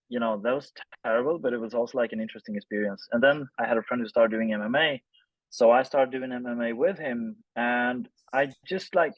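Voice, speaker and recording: A man's voice: medium pitch, no room sound, highs cut off. Man in his thirties, dry sound. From Sweden. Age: 30s. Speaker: interview guest, film director. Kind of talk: casual personal storytelling in an interview.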